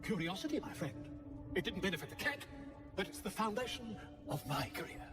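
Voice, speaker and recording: An actor playing a pompous, theatrical, wheedling author in a video game, florid and grandiose. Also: male voice, high voice, big pitch swings, fairly quick, long reverb tail, distant mic, over music. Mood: ingratiating.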